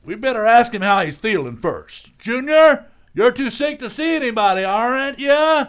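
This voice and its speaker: Male actor as hapless blustering dad, old radio sitcom. High voice, big swings, flustered delivery. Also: big pitch swings, moderate pace, a bit bright, clear, resonant tone, heavily acted. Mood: nervous. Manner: flustered, stammering, exclamatory. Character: blustering, scheming, hapless working-class father and husband. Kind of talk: 1940s radio comedy acting.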